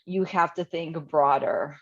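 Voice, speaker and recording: A woman's voice: low-pitched, steady tone, a little slow. A woman from Croatia on a video call, asking a thoughtful question in a hedging way, with many fillers. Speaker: webinar attendee. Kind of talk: casual question from the audience. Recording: muffled lo-fi video call audio.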